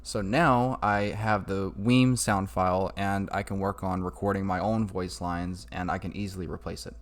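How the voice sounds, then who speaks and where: A man talking through a casual, improvised software tutorial in an informal way. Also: low-pitched, steady tone, normal speed. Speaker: tutorial maker. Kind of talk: casual screen-recorded tutorial.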